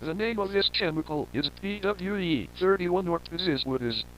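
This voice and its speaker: A man voicing a news reporter who reads a grave emergency warning in a formal, measured way. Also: high voice, a bit bright. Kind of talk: scripted emergency broadcast announcement. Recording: muffled lo-fi audio with noisy hum.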